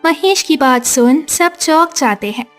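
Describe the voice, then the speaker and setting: A woman's voice: sits high, expressive, a little slow, faint music behind. A woman narrating a scripted moral story for a cartoon, as a storyteller.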